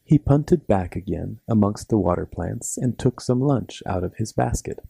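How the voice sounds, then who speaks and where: A man's voice: on the low side, lively intonation, moderate pace, vocal fry, warm tone, husky, dry recording. A man narrating a scripted bedtime story for children as its storyteller.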